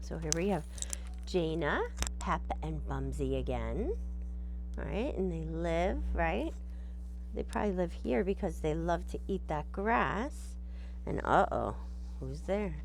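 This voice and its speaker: A woman's voice: fairly low pitch, animated, takes it slowly, creaky, a little mellow, a little hiss or hum, rough recording. A woman teacher reading a storybook aloud and patiently explaining it in simple terms, often checking in with "right?".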